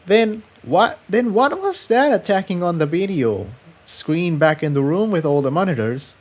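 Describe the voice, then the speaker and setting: A man's voice: sits high, expressive, normal speed, pitched above the performer's own voice. A man voicing a curious, questioning pony adventurer companion in a story reading, with an inquisitive, light delivery.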